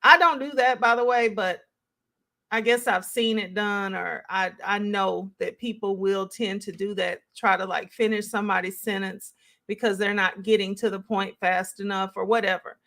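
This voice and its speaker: A woman's voice: mid-range voice, fairly even intonation, normal speed, a bit bright. A woman hosting a live stream, giving casual, conversational reaction commentary as she thinks aloud and responds to chat.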